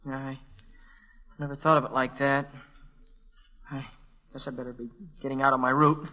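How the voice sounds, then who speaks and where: A man playing an earnest, hot-headed, lovesick teenage telegram boy in a 1940s radio drama, with halting, blurted, slangy speech. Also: mid-range voice, flat delivery, sharp tone, lightly acted, poor audio. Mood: thoughtful. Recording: vintage 1940s radio recording.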